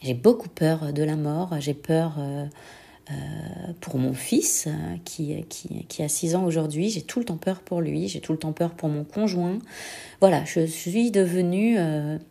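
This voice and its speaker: A young woman gives a personal testimony in a lowish, very mellow voice, slightly stuffed up by a cold. She speaks reflectively and hesitantly, pausing often as she searches for words. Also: creaky. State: congested with a head cold. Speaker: bereaved mother giving a personal testimony. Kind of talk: intimate personal testimony, answering set questions.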